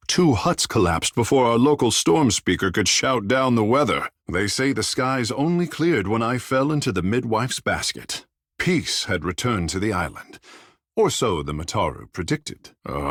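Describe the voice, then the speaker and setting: A man playing a proud, vain young godlike islander sea mage in a fantasy video game, speaking in lofty, flowery, formal phrases with a husky, breathy voice. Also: lowish voice, fairly bright tone, dry recording, clean studio sound. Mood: proud, boastful. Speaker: companion character in a fantasy game. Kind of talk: theatrical video game dialogue.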